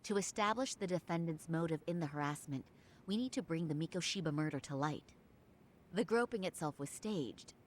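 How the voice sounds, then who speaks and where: A woman's voice: sits low, expressive, fairly quick, slight background noise. An actress voicing a cool, composed female defense attorney in a video game, speaking firmly. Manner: firm, composed.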